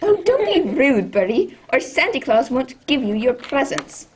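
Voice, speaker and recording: A woman playing a motherly, gently scolding housekeeper in a radio drama. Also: sits high, sing-song, measured pace. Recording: clear background noise.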